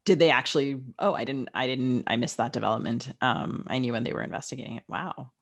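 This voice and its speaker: A woman co-hosting a podcast in a casual, conversational way, with some creak in her voice. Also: rumbling low, quick pace. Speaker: podcast co-host.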